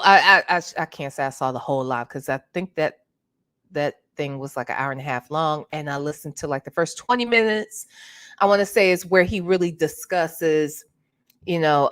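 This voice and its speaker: A woman hosting a casual livestream, chatting with her viewers while sounding congested and clearing her throat now and then. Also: lowish voice, a bit bright. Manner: chatty, greets viewers by name. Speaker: livestream host.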